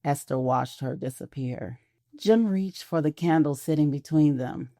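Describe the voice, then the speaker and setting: A woman's voice: deep voice, measured pace, mellow, no room sound, studio quality. A woman reading scripted narration for a romantic audio drama.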